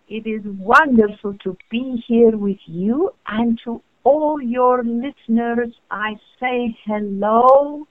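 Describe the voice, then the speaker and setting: A woman voicing a serene, gentle motherly female spirit who speaks softly and slowly, with affection. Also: a little high, dry recording. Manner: slow, soft, affectionate address. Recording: phone-like narrow sound.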